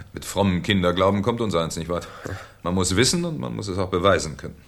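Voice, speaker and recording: An actor playing a shrewd, courteous French police commissioner with dry irony in a 1970s German radio play. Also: male voice, sits low, expressive, vocal fry, fairly bright tone, exaggerated voice. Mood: wry, commanding. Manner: polite, dryly ironic, unhurried questioning. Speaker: actor in a radio crime drama.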